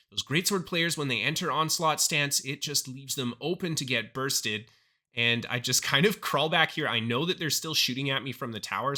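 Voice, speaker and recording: A young man narrating his own gameplay clips into a close desk mic, his voice a little hoarse from a recent illness. The tone is very bright and sits slightly high for a man, and he turns upbeat and excited on big plays. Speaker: gaming YouTuber. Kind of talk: gameplay commentary voice-over.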